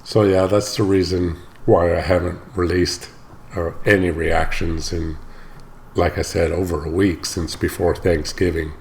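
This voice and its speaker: A man hosting his own reaction channel, chatting casually to camera with a slightly hoarse voice and a rambling way of talking, often stopping to correct himself. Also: sits low, level tone, a little slow, darkish tone, a little hiss or hum. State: slightly hoarse, recovering from illness.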